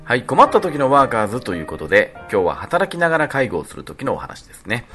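Male radio show leader, mid-pitched and highly animated, polite conversational delivery. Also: fast. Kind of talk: radio talk and explanation. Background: faint music.

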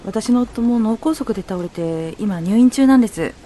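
A woman's voice: mid-range voice, animated, moderate pace, mellow, a little hiss or hum, lightly acted. A woman playing a polite, subdued, worried working wife in an amateur radio drama.

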